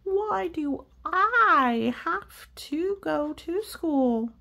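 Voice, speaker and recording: A woman's voice: extremely high pitch, animated, very slow, gravelly, sharp tone, pitched above the performer's own voice, exaggerated voice. A woman voicing an anxious, whiny young cartoon pigeon from a storybook, stretching words out for emphasis. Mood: whiny protest. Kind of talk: storybook read-aloud character voice.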